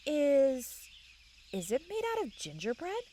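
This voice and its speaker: A woman's voice: high-pitched, wildly swooping pitch, a little slow, dark tone, slight background noise, full character voice. A woman playing an eager young fairy on a quest in a fantasy comedy audio drama. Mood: excited. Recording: outdoor nature sound effects behind voice.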